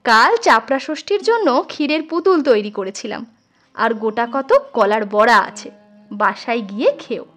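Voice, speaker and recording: A woman's voice: fairly high pitch, sing-song, normal speed, fairly bright tone, pitched below the performer's own voice. A woman voicing a playful, caring, simple-hearted village sister-in-law in a storybook reading. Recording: music under the voice.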